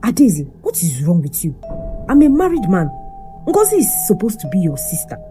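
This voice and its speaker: A woman's voice: medium pitch, huge pitch swings, normal speed, mellow, faint music behind. A woman voicing an angry married man who scolds sharply.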